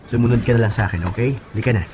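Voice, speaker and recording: A fast-talking young Filipino boyfriend played by a radio actor, reassuring and playful. He speaks in radio drama style over clear vehicle noise. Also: mid-range voice, a little mellow, muffled recording, close to an everyday voice. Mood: playful, coaxing. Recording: vehicle noise behind the dialogue. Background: car and vehicle ambience.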